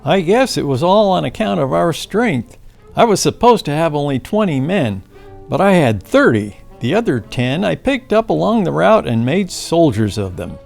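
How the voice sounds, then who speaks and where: A woman's voice: low-pitched, sing-song, normal speed, creaky, darkish tone, soft background music, full character voice. A woman voicing a modest, matter-of-fact male American army lieutenant quoted from a wartime newspaper. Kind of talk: acted quote in a news reading.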